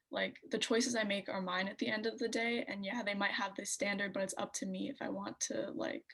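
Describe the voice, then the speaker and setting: A woman's voice: mid-range voice, a bit bright. A teenage girl talking casually with likes as a student panelist on a video call. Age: teens.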